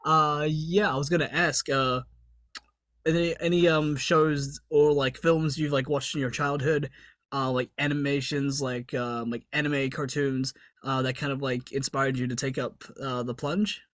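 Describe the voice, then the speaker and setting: A man's voice: mid-range voice, flat delivery, a little slow, a bit bright, no room sound, clean studio sound. A young man from New Zealand with a New Zealand accent, hosting a casual interview and talking hesitantly with lots of fillers. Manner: hesitant, lots of fillers, laughs between phrases. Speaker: podcast host.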